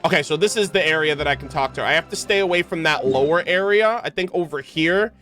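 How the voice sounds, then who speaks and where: A man's voice: a little high, quick pace, fairly bright tone. A man doing casual, rambling livestream game commentary, informal and stumbling a little when he reads aloud. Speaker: game streamer. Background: video game music.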